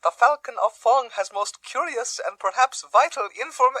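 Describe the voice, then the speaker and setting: A man's voice: extremely high pitch, moderate pace, bright, forceful tone, no echo, exaggerated voice. Wily male shopkeeper, stilted broken English, overpolite, self-deprecating, cryptic informant, radio drama. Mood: humble, cryptic. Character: humble, wily male antique-shop proprietor and informant. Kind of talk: radio drama character dialogue.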